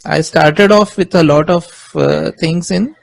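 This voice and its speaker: Slow, hesitant Indian man. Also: a little high, vocal fry. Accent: Indian English. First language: Marathi. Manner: hesitant, many fillers and restarts. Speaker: guest, music composer. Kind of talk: conversational interview answer.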